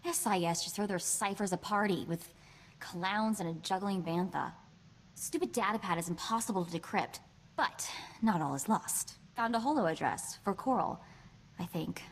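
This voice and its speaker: An actress playing a sassy, quick-witted young woman hacker in a video game, with a chatty, wry delivery. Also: medium pitch, slight background noise, close to an everyday voice. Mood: nervous. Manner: wry, chatty, hesitant asides.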